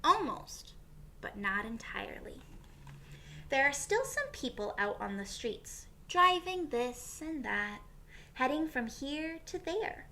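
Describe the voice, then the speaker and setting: A woman reading a picture book aloud to young children as a teacher would, expressively, with pauses and questions for her listeners. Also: high-pitched, big pitch swings, sharp tone, slight background noise.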